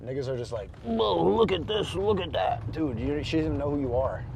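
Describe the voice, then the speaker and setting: A man's voice: fairly high pitch, expressive, a little fast, gravelly, a little mellow, a little hiss or hum. A man from New York livestreaming on city streets at night, chatting loosely with his viewers and with strangers he meets. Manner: loose, slangy, talks to chat and strangers. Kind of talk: casual street livestream chat.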